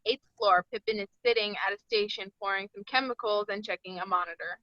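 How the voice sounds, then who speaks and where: A woman's voice: mid-range voice, fairly even intonation, brisk, no room sound, lo-fi sound. A woman reading screenplay stage directions as the narrator at a table read.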